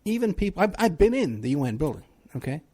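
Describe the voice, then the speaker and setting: A man's voice: sits high, big pitch swings, fast talker, darkish tone, breathy edge. A man from New City, hosting a talk radio show in a rambling, sarcastic monologue. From New York City. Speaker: radio show host, private investigator.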